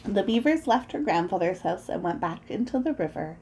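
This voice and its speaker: A woman reading a children's story aloud in an expressive, deliberate way, as a storyteller acting out the sound words. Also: mid-range voice, a little hiss or hum.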